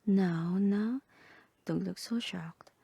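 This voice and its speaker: A woman voicing a calm, composed therapist in a soft, breathy, half-whispered ASMR roleplay, measured and clinical. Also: medium pitch, dry recording, studio quality, exaggerated voice. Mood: calm, reassuring. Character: calm, composed female therapist guiding a patient through roleplay.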